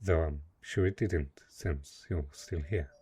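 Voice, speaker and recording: A man playing a lonely, possessive dark knight in a fantasy romance audio roleplay, speaking in hesitant, earnest, formal phrases with a low, husky voice. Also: takes it slowly, exaggerated voice. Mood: earnest, vulnerable.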